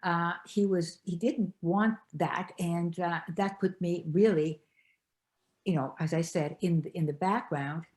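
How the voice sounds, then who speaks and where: An older woman from Belgium, an author being interviewed, telling her story in a reflective, halting way with many restarts. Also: lowish voice, steady tone, a little mellow.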